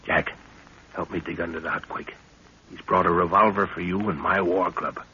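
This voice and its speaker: An actor playing an older, confident jungle explorer, hearty, brisk and fatherly, in a 1930s radio adventure drama. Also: low-pitched, fairly even intonation, pushed and bright. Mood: hushed, urgent. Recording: dull, hissy 1930s radio recording.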